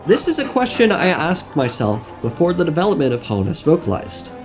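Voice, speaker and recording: Young guy doing game lore narration, pitch swings all over, playful mock-serious, music underneath. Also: huge pitch swings, fairly quick. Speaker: video essay narrator. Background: background music.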